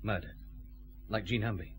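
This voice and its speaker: An actor playing a calm, authoritative detective chief inspector with a Scottish accent in a radio drama. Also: male voice, lowish voice, moderate pace, faint music behind, natural voice. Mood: grave, gentle.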